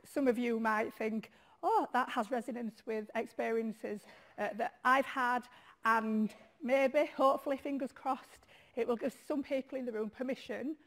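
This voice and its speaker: A middle-aged woman from Leeds with a Leeds accent, a charity chief executive speaking frankly and with self-deprecating humor at a lectern in a large conference hall. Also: on the high side. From Leeds, England. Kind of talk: conference keynote talk.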